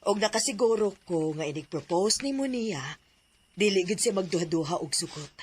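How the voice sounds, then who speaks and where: A loving mother in a radio drama, speaking gently and reassuringly. Her voice is mid-pitched with a slightly husky edge. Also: expressive, normal speed.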